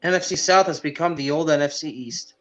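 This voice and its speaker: A man co-hosting a sports podcast in casual chat, haltingly repeating words as he goes. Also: on the high side, fairly bright tone, highs cut off.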